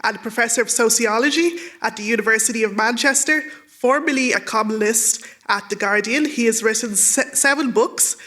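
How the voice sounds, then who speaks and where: A woman's voice: a little high, moderate pace, hardly any pauses, sharp tone. A young adult woman moderating a live book-festival talk, giving upbeat, polished introductions of the guests. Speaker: event moderator and broadcaster. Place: live event stage.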